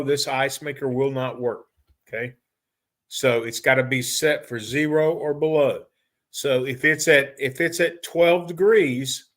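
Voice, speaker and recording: A middle-aged man giving an informal technical training lecture in a folksy, halting way, often repeating and rephrasing himself. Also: mid-range voice, fairly bright tone.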